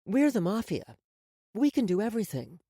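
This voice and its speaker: A man's voice: high voice, very animated, normal speed, dark tone, slightly rough, no room sound, clean studio sound, pitched above the performer's own voice, heavily acted. A man voicing a brazen older Mafia woman in an audiobook, mocking and blunt. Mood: bold, menacing.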